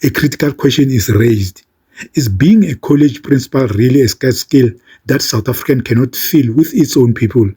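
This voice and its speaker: Mellow man narrating commentary. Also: medium pitch, husky. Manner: addresses viewers directly, measured. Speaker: commentary channel host. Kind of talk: scripted video commentary.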